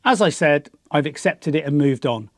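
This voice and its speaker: British man, higher-pitched, close dry sound. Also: expressive, normal speed, dry recording. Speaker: YouTube channel host and hobby pilot. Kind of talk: scripted explanatory narration to viewers.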